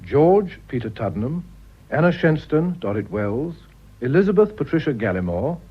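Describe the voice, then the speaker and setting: A man's voice: on the high side, sing-song, moderate pace, slightly rough. A man with a Received Pronunciation accent reading a formal announcement as a vintage radio announcer. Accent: English Received Pronunciation. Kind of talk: formal broadcast cast announcement. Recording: muffled old radio recording.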